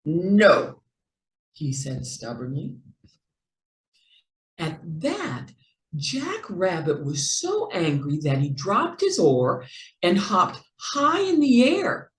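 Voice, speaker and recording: A woman reading a children's story aloud with careful, expressive narration. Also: fairly low pitch, takes it slowly. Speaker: storybook reader. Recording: echoey room.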